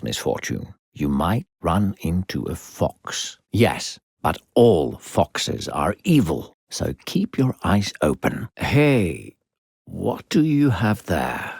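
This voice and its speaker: A man voicing an unseen, coaxing game narrator with a gravelly, husky voice and a patronizing, falsely kindly, theatrical manner. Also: lowish voice, a little mellow, dry recording, clean studio sound, heavily acted. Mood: urgent, warning. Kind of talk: theatrical video game narration addressed to a child.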